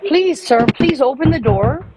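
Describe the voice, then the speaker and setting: A woman making a prank phone call, speaking haltingly and apologizing often. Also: high-pitched, expressive, a loud crowd. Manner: halting, overly polite and apologetic. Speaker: prank caller.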